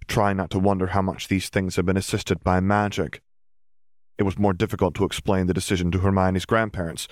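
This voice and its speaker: A man's voice: low-pitched, no room sound, clean studio sound. A man reading fiction aloud as scripted audiobook narration.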